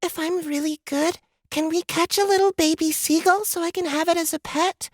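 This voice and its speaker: A woman's voice: very high voice, fairly even intonation, moderate pace, no room sound, clean studio sound. A woman voicing a sweet, innocent little girl in an audiobook drama, speaking in a childlike, earnest way. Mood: sweet, hopeful.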